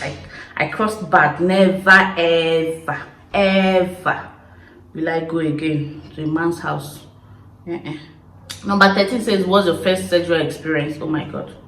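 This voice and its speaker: A young woman from Nigeria with an Igbo accent, casually telling stories to camera in an expressive, chatty way. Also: on the low side, echoey room, rough recording. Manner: chatty, expressive, laughing storyteller. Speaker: YouTuber. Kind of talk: casual confessional chat to camera.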